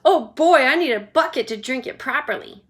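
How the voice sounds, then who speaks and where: A woman's voice: high voice, sing-song, measured pace, a bit bright, pitched above the performer's own voice, exaggerated voice. A woman voicing a greedy, gluttonous young boy in a children's story, whiny in manner.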